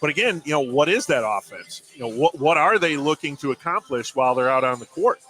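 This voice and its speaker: A man's voice: on the high side, expressive, a little fast, pushed and bright, faint music behind, poor audio. A man giving conversational color commentary and analysis during a live basketball radio broadcast. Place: at a basketball game in a gym.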